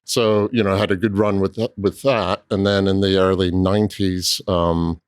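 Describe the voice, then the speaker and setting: A man's voice: deep voice, little pitch movement, moderate pace, smooth, no room sound. A middle-aged man from Scotland with a Scottish accent, a guest in a casual podcast interview, telling his story with dry, self-deprecating humor. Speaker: guest, cooperage co-owner.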